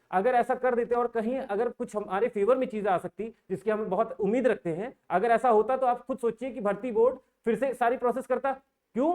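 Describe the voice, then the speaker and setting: A man's voice: very high-pitched, a little mellow. A young man giving informal commentary to viewers, earnest and argumentative, mixing English words into his Hindi and often asking pointed rhetorical questions. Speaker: exam-aspirant community vlogger.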